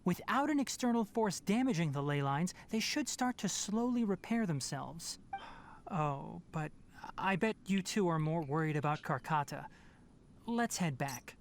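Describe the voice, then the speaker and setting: An actor playing a calm, thoughtful young male scholar in a video game, speaking in a measured, articulate way. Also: on the high side, slight background noise. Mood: calm, purposeful.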